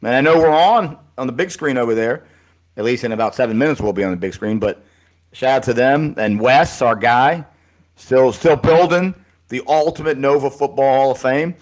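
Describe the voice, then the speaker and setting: A man's voice: medium pitch, moderate pace. A man with a mid-range voice hosts a live football podcast in an easygoing, chummy way. He chats loosely and keeps calling his co-host buddy. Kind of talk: casual live podcast chat.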